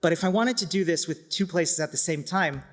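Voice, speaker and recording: A middle-aged man with a slightly high voice speaks as a conference presenter. He is enthusiastic and self-deprecating, dropping conversational asides into an informal talk. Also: fairly quick. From Chile. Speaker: conference speaker, community organiser.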